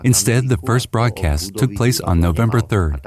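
Low-voiced man reading a voice-over. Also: moderate pace, crowd noise. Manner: steady, even reading. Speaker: voice-over translator. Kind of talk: scripted voice-over narration.

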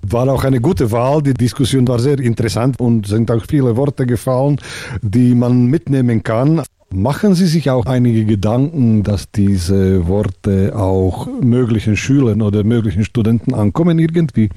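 A man hosting a radio interview, asking calm, measured questions. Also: medium pitch, dry recording, studio quality.

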